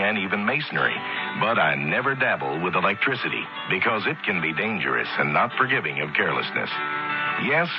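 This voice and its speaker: A man reading a folksy scripted radio announcement in a conversational, reassuring way. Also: low voice. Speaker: public service announcement reader. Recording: muffled old radio spot over music.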